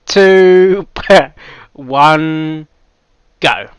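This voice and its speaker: A man's voice: a little high, extremely slow, clear, resonant tone, no echo. A man giving casual live reaction commentary as the host of a reaction channel.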